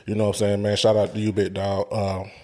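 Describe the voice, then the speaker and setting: A man's voice: fairly low pitch, monotone, mellow. Slangy male podcast host. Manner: loose, slangy, drawn-out fillers. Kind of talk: casual podcast chat.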